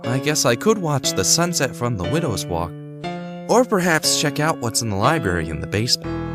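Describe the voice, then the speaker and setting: A man playing a devoted young husband and father in an audio drama, gentle, curious and theatrical. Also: medium pitch, natural voice. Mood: musing. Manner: reassuring, theatrical, thinks aloud to himself. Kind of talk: hobby audio drama acting.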